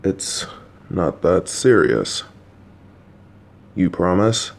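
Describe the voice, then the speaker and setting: A man voicing a kind, worried, clueless father who talks to his child in a gentle, patient, anxious, rambling way. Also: sits low, very animated, very slow, gravelly, a little hiss or hum, exaggerated voice. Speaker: voice actor. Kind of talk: audio roleplay for a listener.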